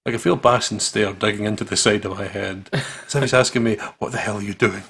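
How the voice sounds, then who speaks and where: Husky, creaky low-voiced male gaming host. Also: steady tone, fairly quick, a bit bright. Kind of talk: dramatic read-aloud narration with casual asides.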